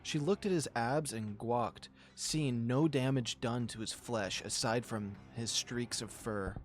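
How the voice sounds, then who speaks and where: A man with a middle-pitched voice hosts a visual novel reading. He narrates like a storyteller and also comments casually in his own words. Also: a little slow, faint music behind. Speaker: visual novel reader and channel host.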